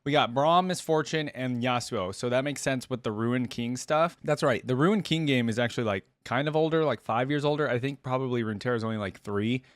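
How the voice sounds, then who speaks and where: A man's voice: mid-range voice, a little fast, hardly any pauses, no echo. A man giving casual reaction commentary as the host of a video.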